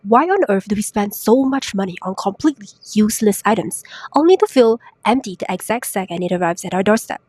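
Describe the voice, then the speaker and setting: A young woman hosting a solo podcast while sick, her voice raspy and congested, chatty and self-mocking with lots of rhetorical questions. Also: medium pitch, animated, a little fast, a little mellow, no echo. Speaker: podcast host. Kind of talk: casual solo podcast talk. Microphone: new podcast microphone.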